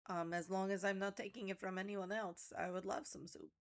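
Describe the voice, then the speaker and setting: A woman's voice: medium pitch, steady tone, brisk. A woman speaking in character in a tabletop game, matter-of-fact and precise in her wording. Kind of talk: in-character roleplay.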